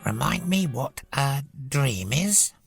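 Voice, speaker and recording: A small, gruff storybook creature, as acted out by a narrator, with a heavily gravelled croak. It talks slowly and plainly in a lively up-and-down tune, with music underneath. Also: mid-range voice, exaggerated voice. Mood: calm, matter-of-fact. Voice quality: gruff, creaky. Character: gruff little woolly toy creature from a magic land.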